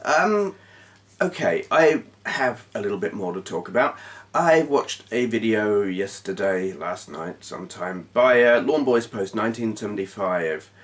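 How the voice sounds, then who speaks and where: A man's voice: fairly low pitch, lively intonation, measured pace, distant mic. A middle-aged man with a stuffy nose from a cold, frankly chatting to camera as a channel host. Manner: frank and blunt, with restarts and short laughs. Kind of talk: casual talking-head vlog.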